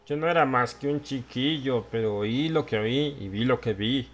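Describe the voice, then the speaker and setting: A man's voice: mid-range voice, normal speed, lo-fi sound, natural voice. A man playing a drunken, half-crazed old villager, sly and ranting, in a dramatized horror audiobook, with a rambling and insistent manner. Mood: brooding, ominous. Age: older. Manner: cackling, rambling, insistent questioning.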